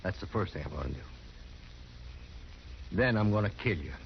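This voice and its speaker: An actor playing a mean, stubborn frontier husband with an American accent and rough, threatening speech in a 1950s radio western. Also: male voice, lowish voice, animated, moderate pace. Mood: menacing. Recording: muffled, noisy vintage radio recording.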